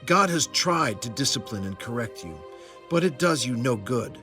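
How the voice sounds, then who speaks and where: Stern, impassioned prophet, declamatory and lively in intonation, for a dramatized Bible story. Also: male voice, medium pitch, moderate pace, soft background music. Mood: stern warning. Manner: solemn, declamatory. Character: stern, impassioned male prophet warning his people.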